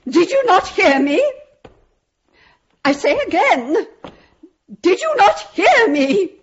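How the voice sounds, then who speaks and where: A woman's voice: very high voice, muffled recording, full character voice. A woman playing a genteel, stern mistress of the house, proper and imperious yet fearful, in a period radio drama.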